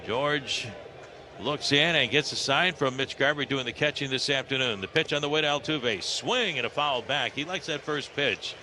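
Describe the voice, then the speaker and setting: An American man calling live baseball play-by-play on the radio, with conversational, folksy asides between pitches. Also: normal speed, pushed and bright, slight background noise. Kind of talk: live sports commentary. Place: broadcast position at an open-roof ballpark.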